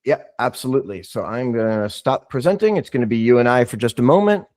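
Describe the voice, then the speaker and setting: A man hosting a business session over a video call, guiding the talk in a relaxed way. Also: mid-range voice, fast talker, no echo, studio quality. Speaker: host.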